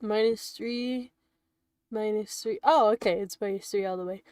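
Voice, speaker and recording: A woman's voice: medium pitch, moderate pace, darkish tone, smooth, dry recording, studio quality. A young woman streaming a game, chatting casually, thinking aloud and giggling often. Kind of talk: casual game commentary, reading dialogue aloud.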